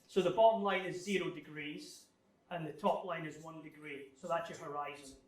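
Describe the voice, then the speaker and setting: A man giving an informal technical presentation, explaining things in a halting, thinking-aloud way as he answers questions. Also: a little high, steady tone. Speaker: guest speaker giving a technical club talk. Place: club meeting room, live talk. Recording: echoey room, distant microphone.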